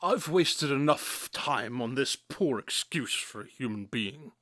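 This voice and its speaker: A condescending, weary detective in a modern radio drama. His voice is medium in pitch, precise and deadpan. The sound is dry and close. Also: unhurried, no echo. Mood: weary, cold. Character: aloof, weary, condescending brilliant male detective.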